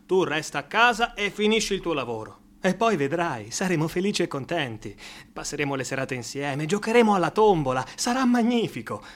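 An actor playing an excitable, affectionate young man who fusses over his anxious friend, in emphatic theatrical Italian radio drama style. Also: on the high side, brisk, lightly acted. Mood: coaxing, affectionate.

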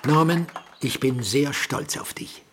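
An actor playing an older, paternal but evasive professor in a radio drama, measured and probing, faltering under pressure. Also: male voice, on the high side, a loud crowd, very noisy. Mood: proud. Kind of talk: German radio drama acting.

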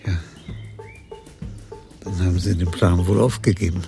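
An actor playing a shrewd, sober senior police commissioner in a 1980s German radio crime play. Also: male voice, low voice, steady tone, a little slow, creaky, over music. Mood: terse, commanding.

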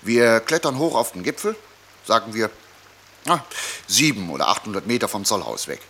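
A man's voice: fairly low pitch, very animated, normal speed, sharp tone, slight background noise, exaggerated voice. An actor playing a bossy, cocksure fugitive man who scolds and lectures his comrade impatiently, in a German radio play. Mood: cocksure, lecturing.

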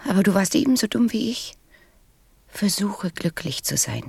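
A woman playing a proud yet tender flower in a radio play, speaking softly and wistfully. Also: medium pitch, big pitch swings, moderate pace, no room sound, full character voice. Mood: tender regret. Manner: soft, breathy, wistful. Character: proud, tender female flower, vain but brave.

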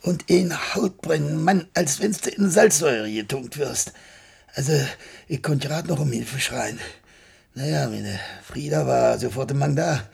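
Radio play: chatty older Berlin tradesman, rough fry in the voice, plain-spoken and dramatic. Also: a little high, lively intonation, measured pace, darkish tone, exaggerated voice. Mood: agitated complaining. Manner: colloquial, rambling, dramatic storyteller. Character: chatty, stubborn, plain-spoken older retired baker, a hospital patient. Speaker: patient. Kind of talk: German radio play acting.

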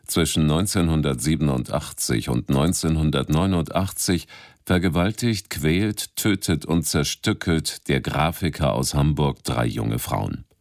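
A man reading a scripted radio drama introduction in a measured, formal announcer's manner. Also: extremely low pitch, dry recording, clean studio sound. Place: dry studio.

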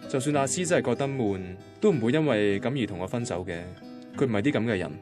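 An actor playing an anxious, defensive young boyfriend from a Cantonese radio drama, conversational and grumbling, with a Hong Kong accent. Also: male voice, medium pitch, expressive, quick pace, lightly acted. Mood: reflective, uneasy. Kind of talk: radio drama first-person narration and dialogue. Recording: guitar music behind the voice. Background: guitar music.

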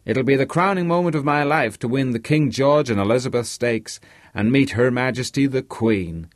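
A man's voice: mid-range voice, moderate pace, no echo, clean studio sound, voice pushed up, natural voice. A man voicing a boastful, blustering, talkative rich American racehorse owner in an audiobook. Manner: loquacious, blustering. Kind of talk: audiobook character voice.

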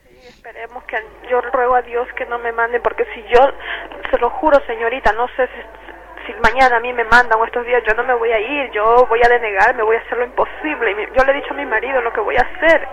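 Over a muffled, slightly noisy phone line, a woman speaks in a high voice. She has an Ecuadorian accent and is giving personal testimony for a radio interview. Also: fairly even intonation, fast talker. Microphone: telephone.

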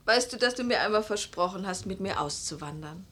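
A woman's voice: medium pitch, animated, a little fast, a bit bright. An actress playing a middle-aged mother who tells her life story in a restrained, wistful, intimate way in a radio drama. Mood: probing, hopeful. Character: weary, hopeful Italian mother narrating her life's disappointments. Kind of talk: radio drama first-person narration and dialogue.

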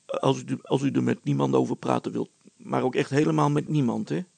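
Man acting out humble village smith and inventor, nervous, hesitant, deferential, husky, storybook character. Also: mid-range voice, a little fast, a little mellow, no echo. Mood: flustered.

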